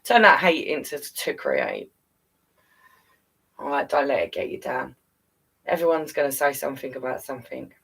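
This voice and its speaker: British woman, very animated, chatting to viewers. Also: a bass voice, normal speed, creaky, long pauses, husky, recorded from a distance. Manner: affectionate, encouraging, calls listeners darling and guys. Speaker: storyteller hosting a live stream. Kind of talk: casual live-stream chat to viewers.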